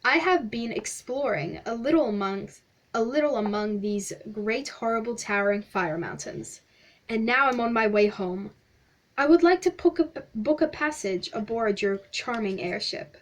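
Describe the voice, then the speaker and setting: A fussy, self-important old explorer pours out flowery compliments in a raised, eager voice, as a woman reader puts him on. He babbles and gushes without pause. The voice sits fairly high. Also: lively intonation, close to an everyday voice, poor audio. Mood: fawning. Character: pompous chatty older male explorer, smallish.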